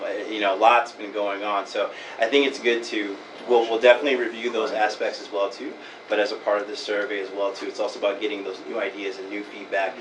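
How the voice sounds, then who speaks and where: A man explains a survey at a public meeting, speaking quickly in a lowish voice and agreeing readily. He is caught by a distant microphone in an echoey room. Steady background noise sits under his voice. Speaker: project official presenting a survey.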